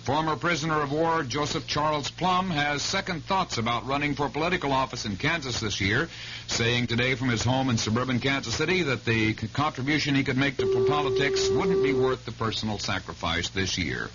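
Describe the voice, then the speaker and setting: A man's voice: medium pitch, animated, brisk. An American man reading a scripted local radio news bulletin. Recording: muffled old radio, faint hum.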